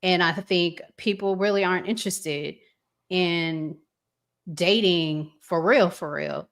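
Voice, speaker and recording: A woman hosting a casual relationship talk show, speaking deliberately with pauses between phrases. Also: lowish voice. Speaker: podcast host.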